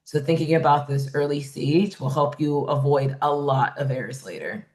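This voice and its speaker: A woman with a loud voice facilitating a design webinar, explaining points conversationally and checking in with "right?". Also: low-pitched, normal speed. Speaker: workshop facilitator. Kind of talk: webinar presentation.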